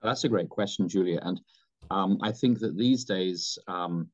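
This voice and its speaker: A British man in his fifties, a pastor answering interview questions in a measured way with frequent ums and restarts. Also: sits low, muffled recording. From United Kingdom. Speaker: pastor, mission leader.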